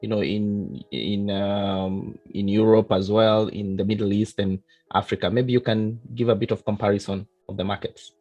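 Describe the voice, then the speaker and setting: A man's voice: lowish voice, moderate pace, darkish tone, lo-fi sound, soft background music. A man hosting an interview over a call, hesitant, with many "uh"s and restarts. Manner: hesitant, many "uh"s and repeated starts. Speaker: interview host.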